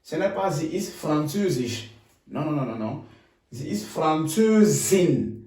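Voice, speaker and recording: A man from Cameroon teaching German in French, repeating phrases slowly and adding checking tags like "hmm?". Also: on the high side, animated, a little mellow, heavy reverb, recorded from a distance. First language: Ngamba. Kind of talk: bilingual language lesson.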